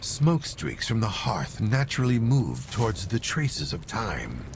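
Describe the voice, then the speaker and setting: An actor playing a brooding male sage sorcerer, delivering a solemn, deliberate monologue in a fantasy game. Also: lowish voice, sing-song, vocal fry, slight background noise, exaggerated voice. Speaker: narrating protagonist.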